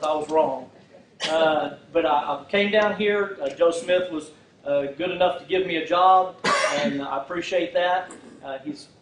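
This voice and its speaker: A man giving a folksy campaign speech with lots of "uh" fillers and restarts, speaking in a community hall without a microphone. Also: a little high. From Fort Worth, Texas. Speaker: political candidate. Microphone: no microphone, recorded from far off. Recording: echoey hall from far off, faint hiss.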